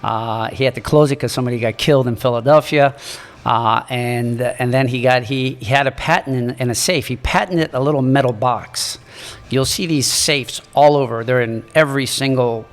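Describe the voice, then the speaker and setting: A man in his sixties from Morocco, a native French speaker, telling his life story as a podcast guest in a halting, earnest way. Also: mid-range voice, big-room sound. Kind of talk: reflective storytelling in an interview.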